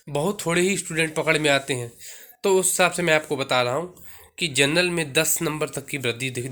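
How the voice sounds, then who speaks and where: A man talks through figures like a coaching teacher. His voice sits a little high and has a bright, forceful edge. He explains informally and keeps checking that listeners are following. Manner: didactic, checks in with "theek hai". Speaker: exam-coaching video host.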